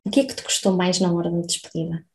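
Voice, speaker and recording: A woman's voice: fairly low pitch, fairly even intonation, fast, a little mellow. A woman with a European Portuguese accent hosting an interview, chatty and laughing easily, often checking in with the guest. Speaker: podcast host. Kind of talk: conversational interview.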